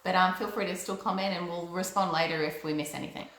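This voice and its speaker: A woman's voice: lowish voice, steady tone, fast, a bit bright. A woman co-hosting a casual livestream, chatting in short, agreeable remarks. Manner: brief, agreeable interjections. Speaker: co-host of a personal development livestream. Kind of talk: casual chat. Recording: roomy, echoey room sound.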